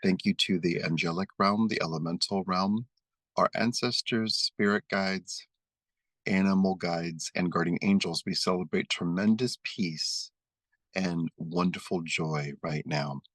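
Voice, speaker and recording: A man leading a guided meditation and prayer, speaking slowly and soothingly as he leads spoken affirmations. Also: sits low, a bit bright, no echo. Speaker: spiritual meditation leader.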